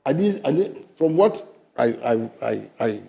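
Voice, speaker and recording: A man's voice: sing-song, measured pace, vocal fry, husky. An older Ghanaian man with a Ghanaian English accent, arguing emphatically as a guest in a studio interview. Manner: emphatic and argumentative, swinging between loud stresses and low asides. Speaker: fisheries sector guest.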